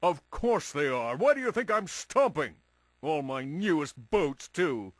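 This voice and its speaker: A man's voice: a little high, big pitch swings, measured pace, dry recording. An actor playing a grumpy, pompous male tycoon in a cartoon game, speaking with blustering emphasis. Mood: angry, blustering.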